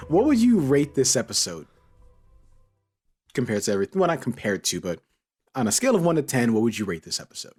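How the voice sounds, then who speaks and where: A man's voice: mid-range voice, big pitch swings, fairly quick, pauses often, a little mellow, breathy edge, soft background music. A man hosting a casual group chat, teasing and bantering playfully with his co-hosts. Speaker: podcast host.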